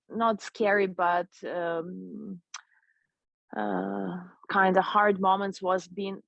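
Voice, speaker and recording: A young woman, her Russian, speaks in an earnest, searching way, pausing to find her words. Her voice sits on the low side and sounds dry and close. It comes through as dull, lo-fi video call audio. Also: dry recording. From eastern Ukraine. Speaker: interview guest. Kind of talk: reflective personal account.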